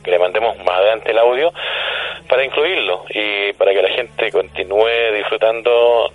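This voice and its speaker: A man's voice: lowish voice, normal speed, dry recording. A man with a Chilean accent chatting politely and formally as a radio guest in a phone interview. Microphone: telephone. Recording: tinny, narrow telephone line.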